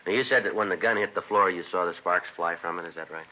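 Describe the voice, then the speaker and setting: An American man playing a terse, dogged police detective in a 1950s radio crime drama, speaking in a deadpan, clipped, businesslike way. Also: deep voice, fast, natural voice. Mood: probing. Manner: deadpan, clipped, matter-of-fact. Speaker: detective and narrator. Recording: dull, noisy vintage radio recording.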